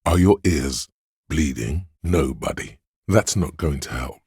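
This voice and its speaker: A man voicing a grim, wry inner voice of pain in a video game, narrating to the listener in a husky, deliberate, ominous way. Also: very deep voice, expressive, darkish tone, no room sound, studio quality, exaggerated voice. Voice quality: gravelly, husky. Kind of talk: scripted second-person game narration.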